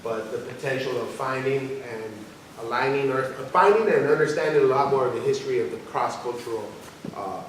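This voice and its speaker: Halting man in a very echoey room. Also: mid-range voice, lively intonation. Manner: halting, searching, emphatic repetition. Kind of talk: informal discussion, reflective explanation. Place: large indoor arts-center room. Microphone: distant room microphone. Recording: very echoey room, distant mic, background noise.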